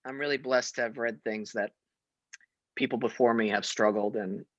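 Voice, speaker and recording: Young adult male guest, emphatic and animated, mid pitch, dry slightly muffled audio. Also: moderate pace, dry recording. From Syria. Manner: animated, emphatic, laughs often. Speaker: guest actor. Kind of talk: casual interview chat.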